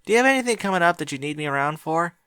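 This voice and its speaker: An eager, bookish young pony mare with a bright, lively lilt, as a man puts her on. She talks quickly and chattily, climbing high with excitement. The sound is dry and close. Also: smooth, dry recording. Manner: eager, chatty, higher-pitched. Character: bookish cheerful young female pony mage. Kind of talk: audiobook character voice.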